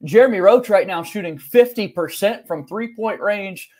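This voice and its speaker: High-voiced young male sports podcast host. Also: normal speed, darkish tone. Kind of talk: conversational sports podcast hosting. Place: home office room, video call.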